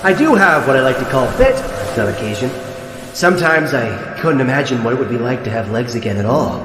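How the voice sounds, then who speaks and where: A man's voice: medium pitch, expressive, fairly quick, natural voice. A man voicing a sardonic mutant sea-creature captive in a fan comic dub, with theatrical, drawling sarcasm. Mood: smug, sly. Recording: echoey, long reverb, loud music behind. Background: music.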